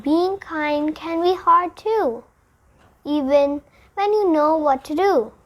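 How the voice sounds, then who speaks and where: A high, childlike voice reads a picture book aloud. The child goes slowly and deliberately through the story. Sentences come in short, separate phrases. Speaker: storybook reader.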